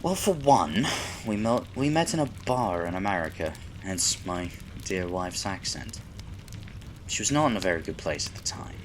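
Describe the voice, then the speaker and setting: Low-voiced, frank husband, played by a man. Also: animated, normal speed, background noise. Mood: reminiscing. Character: successful businessman husband, kindly, frank, fatherly neighbor. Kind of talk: roleplay audio drama acting.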